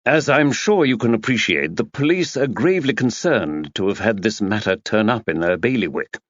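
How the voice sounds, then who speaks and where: A man voicing a courteous investigator who speaks formally and in a measured way. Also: lowish voice, very animated, dry recording, muffled recording. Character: polite, probing male investigator.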